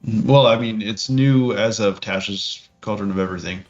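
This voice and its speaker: Man, deep-ish voice, casual game discussion, thinking aloud, stop-start delivery, faint music behind. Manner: halting, thinking aloud while reading. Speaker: podcast co-host. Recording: dull lo-fi audio, music behind.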